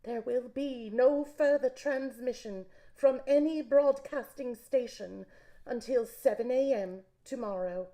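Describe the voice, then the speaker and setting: An actress playing a grave, formal radio newsreader delivering a measured emergency bulletin in a radio drama. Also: female voice, on the high side, mellow. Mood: solemn, official. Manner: measured, solemn, official.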